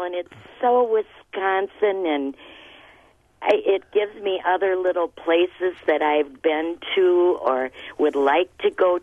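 A woman's voice: lowish voice, dry recording. A woman calling into a radio show, gushing with enthusiasm, heard over a phone line. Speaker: caller.

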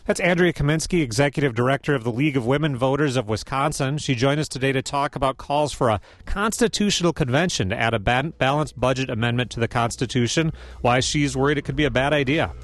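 A man hosts a public radio interview show, reading scripted introductions in a steady, measured way. His voice sits mid-range for a man. The studio sound is close and dry. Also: mid-range voice, no echo. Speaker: radio host.

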